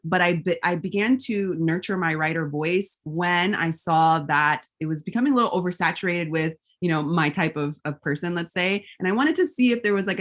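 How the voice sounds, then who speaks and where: An American woman hosts a relaxed interview and keeps affirming her guest. Her speech is loose, with a lot of "you know" and "um" fillers. Her voice is on the low side. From raised between California and Georgia. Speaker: host. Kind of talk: casual interview chat.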